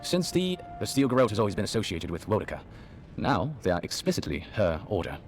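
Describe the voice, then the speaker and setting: An actor playing a learned, earnest archivist who explains lore precisely in a fantasy video game. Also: male voice, fairly low pitch, very animated, quick pace, a little hiss or hum. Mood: wry, informative. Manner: bookish, precise. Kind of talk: video game dialogue, expository.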